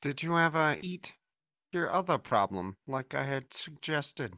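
A man playing a calm, sinister male therapist who urges his patient toward cannibalism. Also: on the high side, unhurried, no room sound, full character voice. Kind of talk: comedy skit acting.

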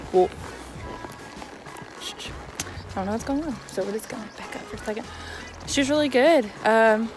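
A young woman talking casually to viewers in an informal, rambling way, recorded outdoors on a phone held at arm's length. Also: fairly high pitch. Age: young adult. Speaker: equestrian vlogger. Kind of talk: casual vlog talk to viewers. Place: outdoors on a horse farm.

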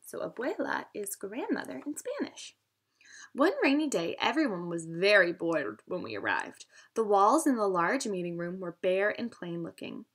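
A woman with a high voice reads a children's storybook aloud as a teacher would. Her pitch moves up and down a great deal, and her delivery is expressive and instructional. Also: slightly rough. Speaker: teacher reading aloud to students.

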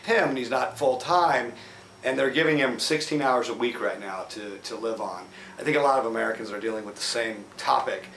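A man with a medium-pitched, fry-laden voice talks informally as a theatre director about a play. He sounds a little distant from the mic in an echoey room with faint noise. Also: normal speed, a bit bright.

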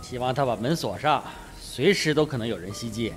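A man's voice: mid-range voice, lively intonation, fairly quick, soft background music, lightly acted. A man playing a wry, hearty army colonel in a Mandarin radio drama, with rain noise behind him. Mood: uneasy.

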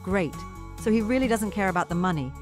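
A woman's voice: medium pitch, lively intonation, fast, soft background music, natural voice. An actress playing a cautious, worried young android woman, the older sister. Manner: cautious, worried, law-abiding.

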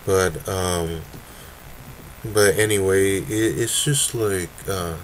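Young male creator, low voice, hesitant and full of restarts, desk webcam in a dark room. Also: slight background noise. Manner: halting, many fillers and restarts. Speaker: video creator. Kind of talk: casual webcam announcement. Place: dim room indoors.

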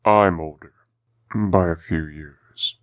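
A woman voicing a weary, gloomy male skeleton scientist in a cartoon comic dub, husky, measured and formal. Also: very deep voice. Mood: wistful. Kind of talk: fan comic dub acting.

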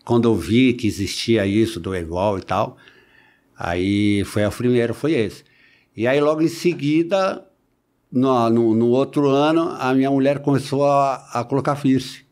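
A man in his forties with a Brazilian Portuguese accent, talking casually as a podcast guest into a close studio mic, haltingly, repeating words and adding little tags. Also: mid-range voice, a little mellow. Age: 40s. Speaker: podcast guest, body-modification enthusiast. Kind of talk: casual conversational storytelling. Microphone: close studio microphone on boom arm.

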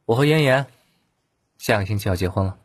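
A man's voice: fairly low pitch, expressive, fairly quick, no room sound, voice pushed up. A man voicing a resigned, hardworking young male office team leader, weary and earnest, in an audiobook. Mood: hesitant, earnest.

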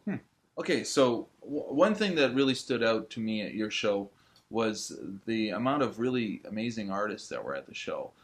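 A man's voice: on the low side, big pitch swings, moderate pace. A man, asking questions conversationally as an interviewer. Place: small indoor tattoo studio room.

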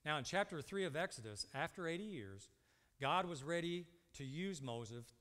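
A man's voice: on the high side, animated, moderate pace, fairly bright tone. A man in his fifties preaching a sermon emphatically, posing short rhetorical questions and repeating himself. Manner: asks the congregation short rhetorical questions and answers them. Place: indoor church stage at a lectern. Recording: reverberant hall sound.

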